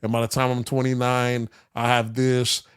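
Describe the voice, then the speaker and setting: A man's voice: mid-range voice, completely flat delivery, moderate pace, smooth. A man co-hosting a casual podcast, giving his opinions in a slangy, profane, rambling way. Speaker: podcast co-host.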